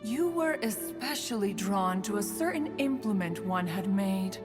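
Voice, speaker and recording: A woman's voice: medium pitch, expressive, unhurried, close to an everyday voice. A woman playing a proud, fussy yet fond immortal adeptus in a video game, speaking in formal, archaic phrases and calling herself "one.". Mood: teasing, imperious. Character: stately immortal female adeptus, proud, fussy, fondly meddling. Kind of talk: video game character acting. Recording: long reverb, music behind.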